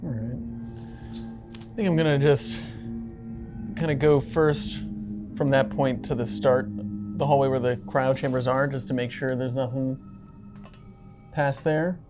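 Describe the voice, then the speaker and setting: A woman's voice: rumbling low, fairly even intonation, unhurried, long pauses, over music. A woman playing a tabletop roleplaying game, chatting casually about her moves.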